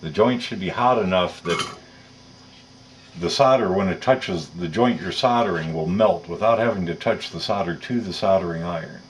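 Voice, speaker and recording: A man's voice: deep voice, moderate pace. A man talking through hands-on work step by step, explaining each detail methodically as an instructor. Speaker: electronics tutorial presenter.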